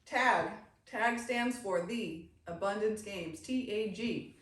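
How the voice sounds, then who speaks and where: A middle-aged American woman, an animated life coach telling stories casually as an interview guest. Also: mid-range voice, takes it slowly. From grew up in the United States. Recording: very echoey room, distant mic, faint noise.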